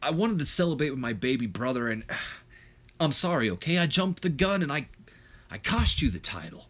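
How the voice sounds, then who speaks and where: A man acts out an over-excited older brother in a wrestling storyline. He pleads earnestly and rambles through apologies. His pitch sits raised and moves in lively swings. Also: moderate pace. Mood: apologetic. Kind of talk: acted wrestling storyline dialogue.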